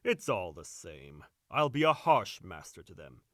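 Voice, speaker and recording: A man performs a brash young servant boasting crudely in an audio play. The voice is bright and swings widely in pitch. It is recorded dry and close. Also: very animated, measured pace, dry recording, full character voice. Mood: cocky. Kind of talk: audio drama acting.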